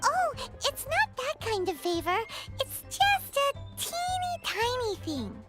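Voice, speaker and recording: Game fairy sidekick: tiny, squeaky, very high and swooping, cheeky and excitable, music behind. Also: female voice, slow, full character voice. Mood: eager, cheeky. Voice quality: squeaky, childlike. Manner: bubbly, blunt, refers to self by name. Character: small chirpy childlike fairy companion, excitable and cheeky. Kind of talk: video game voice acting.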